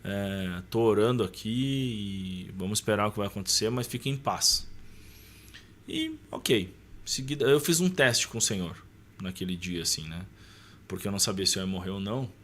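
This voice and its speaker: A man's voice: lowish voice, moderate pace, fairly bright tone. A man with a southern Brazilian accent casually telling a personal story as a podcast guest, acting out dialogue as he goes.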